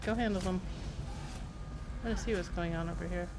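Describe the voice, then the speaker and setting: A woman's voice: on the low side, a little fast, background noise. A woman giving casual live game commentary, rambling and playfully self-deprecating as she chats to viewers and game characters. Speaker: game livestreamer.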